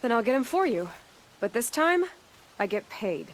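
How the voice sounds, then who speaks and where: A woman's voice: a little high, sing-song, moderate pace. A woman playing a determined, blunt young huntress in a video game, direct and wry.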